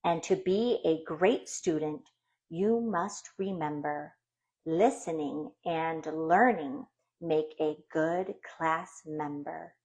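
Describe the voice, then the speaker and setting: A caring young superhero big brother from a picture book, read by a woman. He speaks slowly and gently, encouraging and reassuring as he gives advice. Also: low voice, dull audio. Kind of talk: children's storybook read-aloud.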